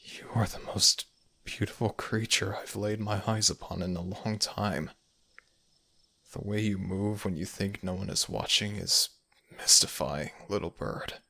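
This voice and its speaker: A man voicing a possessive, protective male fox spirit in an intimate ASMR audio roleplay, brooding and gravelly, with old-fashioned formal speech. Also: fairly low pitch, fairly even intonation, measured pace, sharp tone, full character voice. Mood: brooding, longing. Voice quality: gravelly, breathy at times.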